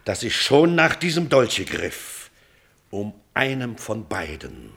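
An actor playing a stern, anguished older father in a classical radio tragedy, declaiming weightily with a husky, gravelly voice. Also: on the low side, very animated, slow pace, fairly bright tone, exaggerated voice. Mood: tender, then furious. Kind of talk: classical German stage tragedy as radio drama.

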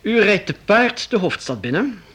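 Spluttering, pompous court baron. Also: male voice, high voice, very animated, moderate pace, fairly bright tone, husky, full character voice. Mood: flustered, formal. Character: fussy, stiff, deferential male court baron. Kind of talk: radio play acting.

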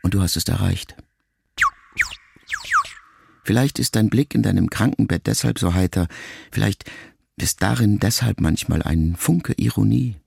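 An actor playing a grieving grown son, a writer, in an intimate, measured literary radio monologue addressed to his mother. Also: on the low side, vocal fry, heavy background noise. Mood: reflective, tender. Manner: measured, reflective, intimate, with deliberate pauses.

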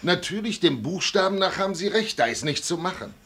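An actor playing a supportive works council colleague in a German radio play, calming and reasoning in a familiar way. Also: male voice, high-pitched, a little fast, full character voice.

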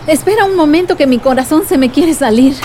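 A woman's voice: high voice, animated, fairly quick, darkish tone, a little hiss or hum. A woman playing an affectionate, encouraging aunt with a Mexican accent in a radio drama. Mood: flustered, eager. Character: warm, encouraging aunt, easily winded.

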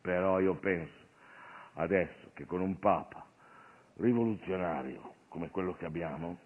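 A male comic actor delivering an animated, digressive satirical monologue on stage, addressing the audience and his sidekick. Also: deep voice, normal speed. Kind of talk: theatrical stand-up monologue with a sidekick. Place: theatre stage. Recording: noisy, narrow phone-like radio recording of stage show.

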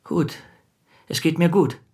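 Husky, subdued, anxious shopkeeper. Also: male voice, fairly high pitch, takes it slowly, a little mellow, heavily acted. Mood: anxious, evasive. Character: anxious, withdrawn male bookseller whose wife has gone missing. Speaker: actor in a radio play. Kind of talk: German radio play dialogue.

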